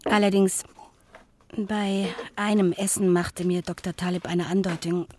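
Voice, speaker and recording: A woman's voice: lowish voice, flat delivery, unhurried, a little mellow, natural voice. Noisy recording, actress as earnest woman confiding by letter. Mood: earnest, uneasy. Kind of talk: radio play, reading a personal letter aloud.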